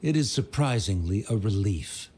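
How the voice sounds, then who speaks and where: A man's voice: lowish voice, expressive, moderate pace, darkish tone, husky. An actor playing a haughty, grudging man in a video game, speaking in a condescending, formal way. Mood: scornful, grudging.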